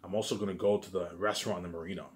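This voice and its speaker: A man's voice: deep voice, steady tone, racing. A man giving a rambling, informal monologue, repeating himself emphatically, swearing and restarting his phrases. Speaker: vlogger.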